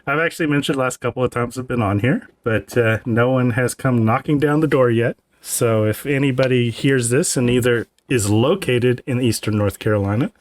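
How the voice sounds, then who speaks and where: A man co-hosting a podcast, explaining things casually and haltingly, pausing mid-sentence. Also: medium pitch. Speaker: podcast co-host.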